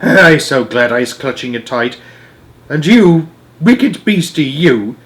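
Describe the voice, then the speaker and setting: A man reading aloud and voicing a huge, kindly old male giant who gets excited, mangles his grammar and uses made-up words. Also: medium pitch, normal speed. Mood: fierce. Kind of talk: storybook read-aloud.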